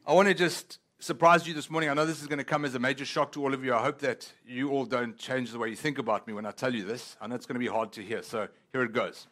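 A middle-aged man from South Africa, a pastor whose first language is Italian, preaching a sermon in a church with humor and slowing down to read scripture. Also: mid-range voice. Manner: lively and humorous, slows down for scripture. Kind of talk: sermon with prayer and scripture reading. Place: church auditorium with congregation.

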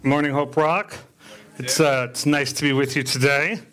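A man preaching from a church stage, born in South Africa and raised in the American Midwest. He talks loosely and personally, with plenty of uh and um, and jokes about himself as he tells his story. His voice sits at a middle pitch. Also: fairly even intonation, normal speed, fairly bright tone, faint music behind. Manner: conversational, with frequent fillers and self-deprecating asides. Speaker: deacon preaching. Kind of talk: sermon with personal testimony.

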